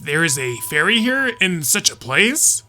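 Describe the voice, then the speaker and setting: A woman's voice: fairly low pitch, sing-song, a little slow, sharp tone, heavily acted. A woman voicing a dutiful, formal castle knight in a video game fan dub. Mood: alert, gallant.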